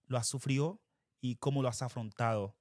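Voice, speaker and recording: A man co-hosts a podcast, putting questions conversationally. His voice sits at a middle pitch for a man. The recording is close and dry. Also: fairly even intonation, brisk, darkish tone, no room sound. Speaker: podcast co-host, interviewer. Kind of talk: casual conversational interview.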